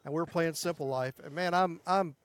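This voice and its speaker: High-voiced man telling folksy stories. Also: normal speed, smooth. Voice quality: high tenor voice. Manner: folksy storyteller, chuckles often. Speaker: country music singer and guest. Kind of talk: casual interview chat.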